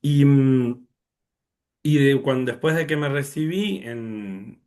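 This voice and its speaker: A man's voice: mid-range voice, slow pace, darkish tone. Young Argentine man on a video call. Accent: Argentine Spanish. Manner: thoughtful, many fillers and restarts. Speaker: orchestra conductor, guest.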